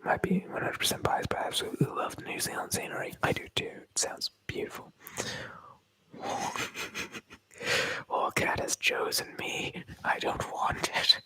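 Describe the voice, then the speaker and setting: A man's voice: moderate pace, pauses often, bright, forceful tone, dry recording. A man whispering through an ASMR livestream, rambling and joking as he reads viewer comments aloud. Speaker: ASMR streamer.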